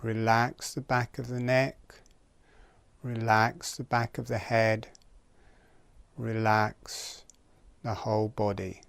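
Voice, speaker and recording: Male relaxation instructor, very slow pacing, calm and gentle, short spaced phrases, dry close sound. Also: lowish voice, vocal fry, clear, resonant tone, no echo. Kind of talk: guided relaxation exercise instruction.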